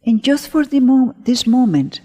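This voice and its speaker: A woman leading a guided meditation as a teacher, gentle and unhurried, pausing often between short phrases in a breathy voice. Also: sits high, very animated. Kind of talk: guided meditation, spoken slowly to listeners. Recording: long hall-like reverb.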